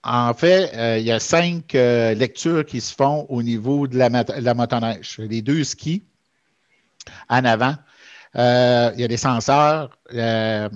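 A man's voice: mid-range voice, slow. A middle-aged man with a Quebec French accent explaining things in casual, somewhat hesitant podcast talk over a headset microphone. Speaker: podcast co-host, product expert. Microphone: headset boom microphone. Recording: dull, lo-fi headset sound.

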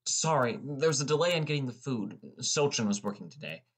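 A man's voice: mid-range voice, sing-song, a little fast, slightly rough. A man as a teenage boy narrator. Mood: defensive. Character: sullen, defensive teenage boy narrating his story. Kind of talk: scripted audiobook narration.

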